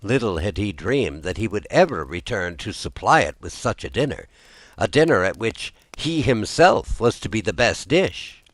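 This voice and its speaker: Male storyteller for scripted folk tale narration, expressive, wide-ranging intonation, dry sound. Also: on the low side, moderate pace, dry recording.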